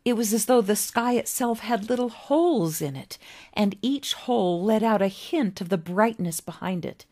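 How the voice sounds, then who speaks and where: A woman reading literary fiction aloud as an author would, with an expressive, dramatic storybook delivery and deliberate pauses. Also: medium pitch. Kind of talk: expressive scripted story narration.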